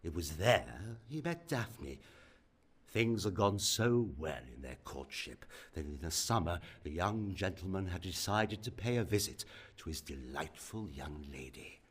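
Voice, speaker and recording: A man playing a slow, low-voiced genteel horror host. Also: lively intonation, slightly rough, full character voice. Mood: relishing, ominous. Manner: theatrical, florid, savouring words with long pauses. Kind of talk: spooky radio play narration.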